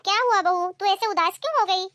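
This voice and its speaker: A woman voicing scheming, gushing women of a family in an animated domestic story. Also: extremely high pitch, a little fast. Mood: plaintive, scheming. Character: mother-in-law and her flattering younger daughter-in-law, scheming.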